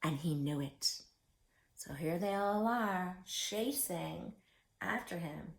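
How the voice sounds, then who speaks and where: Very animated, slow-paced woman reading to children. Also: fairly low pitch, vocal fry. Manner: theatrical, asks the young listeners questions. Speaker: children's story-time reader. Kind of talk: expressive picture-book read-aloud for young children.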